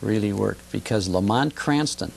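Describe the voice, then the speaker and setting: A man's voice: on the low side, lively intonation, normal speed, vocal fry, background noise. A man talking conversationally as a guest expert on radio history, enthusiastic and emphatic, repeating phrases for effect.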